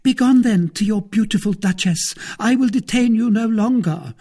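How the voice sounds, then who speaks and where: A man's voice: sits high, normal speed, mellow, slightly rough, pitched above the performer's own voice. A man voicing a lovesick, jealous, tight-fisted married woman in a storybook, pleading and indignant in turn. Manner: pleading, indignant, emotionally overwrought.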